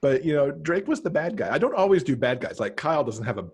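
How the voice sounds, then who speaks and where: A man's voice: a little high, very animated, quick pace, a little mellow, husky. A middle-aged man, a guest author, chatting casually about writing over a video call from home.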